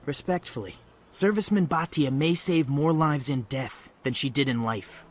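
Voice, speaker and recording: A man's voice: a little high, moderate pace, natural voice. Man as a stubborn, formal military official. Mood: defensive. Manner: formal, earnest. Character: stubborn human male military bureaucrat, defensive and formal. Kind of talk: video game voice acting. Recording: faint noise and ambience.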